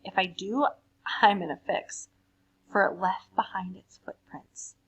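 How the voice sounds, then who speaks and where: A woman reading children's poems aloud and chatting casually in an animated, playful way. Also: mid-range voice, unhurried, breathy edge, highs cut off. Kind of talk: casual chat and expressive read-aloud of children's poetry.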